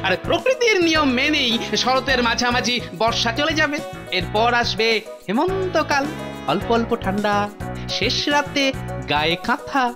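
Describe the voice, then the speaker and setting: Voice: medium pitch, very animated, unhurried. An actor voicing a clever, sly court jester in a cartoon, coaxing and explaining with teasing hesitations. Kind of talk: animated cartoon dialogue. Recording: loud music behind the voice.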